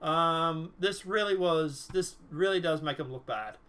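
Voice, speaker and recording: A man's voice: on the high side, unhurried, fairly bright tone, clear, resonant tone. A man giving casual political commentary while reading from a document, dismissively trailing off with repeated filler.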